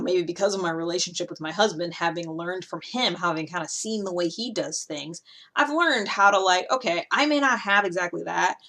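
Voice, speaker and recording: A woman hosting a podcast in a casual, informal monologue, explaining ideas in a rambling, animated way and slipping into imagined replies. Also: on the low side. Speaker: podcast host explaining personality typology.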